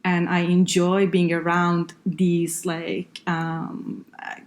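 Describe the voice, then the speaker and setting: A middle-aged woman from northern Iran, a native Farsi speaker, answering interview questions in a halting way with many fillers and restarts. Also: on the low side, monotone. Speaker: guest, healthcare consultant.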